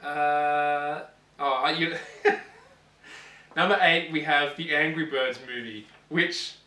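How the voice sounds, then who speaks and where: A man co-hosting a casual gaming show, counting down a list in a halting, repetitive, jokey sarcastic way. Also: fairly high pitch, pushed and bright. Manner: halting, repeats phrases, sarcastic joking. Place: echoey indoor room, voice away from mic. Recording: echoey room, distant mic.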